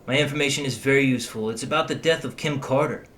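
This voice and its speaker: An actor in an audio drama playing a cagey, pushy, touchy informant, insistent and edgy in manner. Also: male voice, medium pitch, quick pace, a bit bright, a little hiss or hum, close to an everyday voice.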